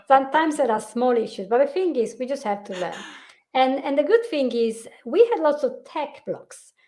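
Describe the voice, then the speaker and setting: A woman with a high, light voice hosts a relaxed live-stream conversation. She talks chattily, often repeating a word as she restarts. The sound is a plain video-call recording. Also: normal speed. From Italy. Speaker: host, hypnotherapist. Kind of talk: casual live-stream chat.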